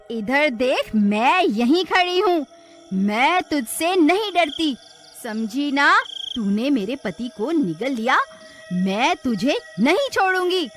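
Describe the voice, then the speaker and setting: A woman's voice: high-pitched, a bit bright, voice pushed up, exaggerated voice. A woman voicing a bold, devoted village wife in a cartoon story, with an emotional, exclaiming delivery.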